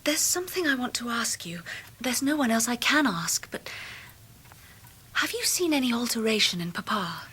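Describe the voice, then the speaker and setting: An actress playing a gentle, earnest young woman, a devoted daughter, in a period radio drama, soft and restrained. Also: on the high side, animated, moderate pace, breathy edge, full character voice, poor audio. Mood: anxious, hushed.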